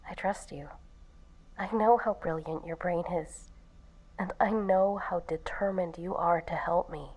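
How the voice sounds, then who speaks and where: A woman's voice: fairly low pitch, steady tone, unhurried, a little mellow, rough recording. A woman playing an affectionate, trusting sweetheart in an audio drama, speaking with tender, teasing intimacy. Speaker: voice actor.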